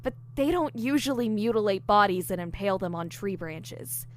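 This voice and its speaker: A woman's voice: mid-range voice, animated, normal speed, natural voice. A woman playing a friendly, earnest young investigator in an audio drama. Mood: earnest, focused. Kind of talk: audio fiction acting.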